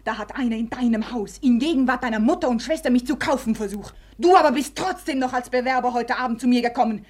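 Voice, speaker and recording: An actress playing a proud, scornful, reckless society beauty in a theatrical, commanding manner in a radio play. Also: female voice, a little high, fast talker, a little mellow, close to an everyday voice. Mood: bitter, contemptuous. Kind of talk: German radio play acting.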